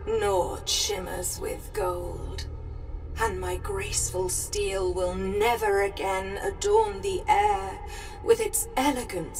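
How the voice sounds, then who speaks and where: A woman's voice: mid-range voice, creaky, full character voice. A woman playing a solemn, mournful mystical sister in a video game, in a poetic, theatrical manner. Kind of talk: scripted video game dramatic monologue. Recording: distant voice over music. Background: music.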